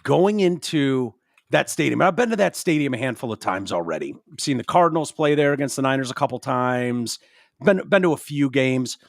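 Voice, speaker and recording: A man's voice: medium pitch, expressive, a little fast, vocal fry, dry recording, studio quality. A man hosting a podcast, chatting casually in a blunt, profane and emphatic way. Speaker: podcast host.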